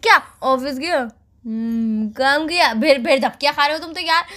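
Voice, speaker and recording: A woman's voice: high-pitched, moderate pace, sharp tone. A young woman chatting casually on a phone call as a girlfriend, insistent and nagging. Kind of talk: casual couple's phone chat.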